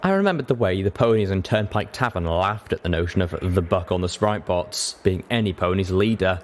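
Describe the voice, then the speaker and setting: Low-voiced man narrating a story. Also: animated, fairly quick. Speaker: audiobook narrator.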